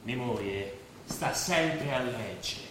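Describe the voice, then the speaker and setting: Talkative working man, forceful bright voice, animated pitch, proud, stage drama, very echoey. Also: slow pace. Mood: chatty. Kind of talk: Italian stage drama acting, storytelling. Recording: very echoey stage, faint background noise.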